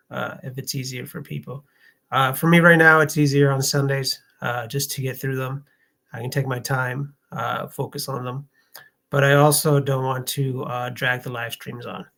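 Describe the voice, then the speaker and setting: A man's voice: mid-range voice, flat delivery, a little slow, creaky, a bit bright. Hesitant man hosting a casual live stream. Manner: hesitant, thinking aloud.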